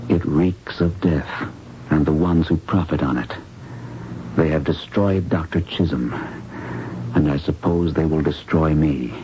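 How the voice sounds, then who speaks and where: An actor playing a secretive, idealistic young doctor in a 1970s radio drama, speaking in a hushed, grave, deliberate way. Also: male voice, rumbling low. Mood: bitter, somber. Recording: dull lo-fi radio broadcast, noisy.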